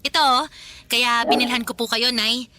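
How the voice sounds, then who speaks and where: A woman playing a meek, apologetic Filipino high school daughter in a Tagalog radio drama. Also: steady tone, normal speed, sharp tone. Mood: eager, respectful.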